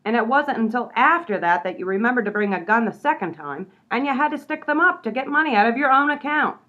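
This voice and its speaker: A woman's voice: a little high, animated, quick pace, pushed and bright. Lady doing a naggy tough gangster gal, radio comedy, fed up, slangy, bit lo-fi sound. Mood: scolding. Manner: nagging, slangy old-time gangster talk. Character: exasperated, scolding tough female gangster sidekick. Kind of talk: comedy radio play acting.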